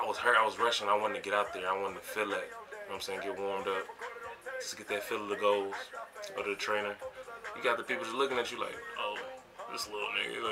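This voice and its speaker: An American man in his twenties reflecting casually for a vlog, talking in a slangy, emphatic, conversational way. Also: lowish voice. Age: 20s. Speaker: vlogger and college basketball player. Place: small bedroom, indoors. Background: music.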